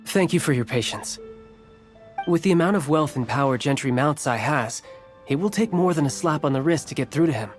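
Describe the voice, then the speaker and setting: A man's voice: fairly high pitch, lively intonation, a little fast, close to an everyday voice. A man voicing a courteous guild official who speaks in a polite, formal manner. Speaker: commerce guild host. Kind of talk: game voice acting. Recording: game music behind.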